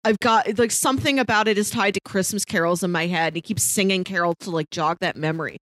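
A woman's voice: medium pitch, fast talker, a bit bright, dry recording, low-quality recording. A woman co-hosting a podcast, casually recapping a story and acting out quotes as she retells it. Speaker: podcast co-host.

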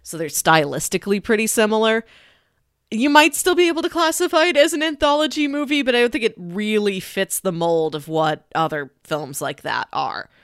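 A woman's voice: medium pitch, lively intonation, brisk, smooth, no echo, clean studio sound. A woman co-hosting a podcast, talking casually and analytically as she explains her views on films. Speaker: podcast co-host. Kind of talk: casual film discussion podcast.

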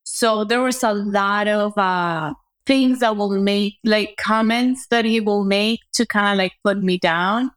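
A woman's voice: medium pitch, a little slow, clear, resonant tone. A woman, a guest telling her career story in a reflective way and often asking "right?". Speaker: guest entrepreneur. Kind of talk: conversational interview storytelling.

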